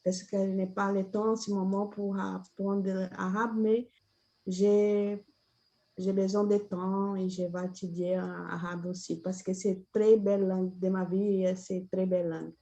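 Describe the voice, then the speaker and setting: Woman guest, mid pitch, halting learner's conversation. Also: little pitch movement, warm tone, muffled recording. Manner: hesitant, simple phrasing. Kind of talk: casual chat in a learned language.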